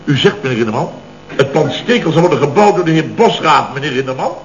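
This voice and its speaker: An actor playing a blustering, middle-aged Dutch building boss in a radio comedy, indignantly spinning out long, exasperated stories. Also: male voice, a little high, animated, fast talker, natural voice. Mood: strained, deferential. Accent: Netherlands Dutch. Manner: indignant, long-winded storytelling with exasperated emphasis. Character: blustering, put-upon male building contractor boss recounting mishaps. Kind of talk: 1970s radio comedy acting. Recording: dull old radio recording.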